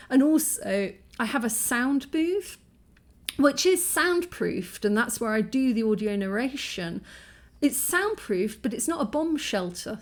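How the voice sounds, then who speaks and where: A woman with a croaky, strained voice that sits a little high. She sounds drained from sleepless nights and talks in a frustrated, rambling way, full of ums and restarts. It is a candid vlog spoken straight to camera. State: exhausted and sleep-deprived. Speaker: vlogger. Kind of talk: candid talking-head vlog.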